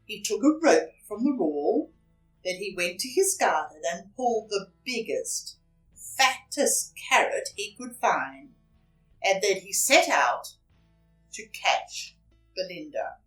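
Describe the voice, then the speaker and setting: A woman's voice: medium pitch, sing-song, far from the mic, faint music behind, low-quality recording. A woman reading a children's story aloud expressively, dramatically stressing and drawing out repeated words. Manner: dramatic, with emphatic repetition and drawn-out words.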